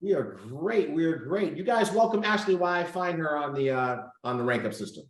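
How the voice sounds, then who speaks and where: A man's voice: fairly high pitch, expressive, fairly quick, reverberant. Upbeat man hosting a video call. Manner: upbeat, reads out numbers, thinks aloud while clicking. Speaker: host of a coaching call. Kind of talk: casual group video call coaching.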